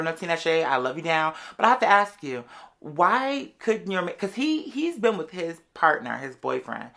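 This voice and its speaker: A chatty vlogger with a bright, sharp voice at a middling pitch, spinning out a personal story for followers. The delivery is casual and sassy, full of slang and wandering side remarks. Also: vocal fry. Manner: rambling, digressive, sassy slang, calls everyone "girl".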